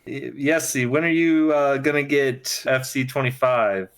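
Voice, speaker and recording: A man's voice: medium pitch, a little slow, a bit bright. A man chatting in an easygoing, slangy way as a co-host on a podcast. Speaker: podcast host and tabletop player. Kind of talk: casual chat.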